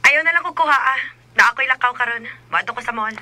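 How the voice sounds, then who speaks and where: A woman's voice: on the high side, fast talker, sharp tone, no room sound. A woman acting a restless, evasive young girlfriend in a radio drama, speaking with a Cebuano Filipino accent. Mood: irritated. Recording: radio drama over music bed.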